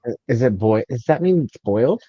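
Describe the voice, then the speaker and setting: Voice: mid-range voice, animated, brisk, dark tone, husky. Casual streamer chatting w friends, jokey and swears a bit, kinda dull lo-fi recording, no room echo. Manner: sweary, joking. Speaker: livestream host reading out chat. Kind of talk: casual chat.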